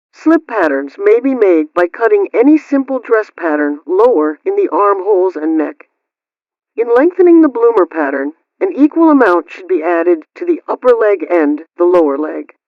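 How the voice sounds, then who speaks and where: A woman's voice: lowish voice, no echo. A woman playing a prim 1920s housekeeping host on the radio, reading scripted advice in a formal, instructive way through an old radio filter. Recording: dull, lo-fi old-radio sound.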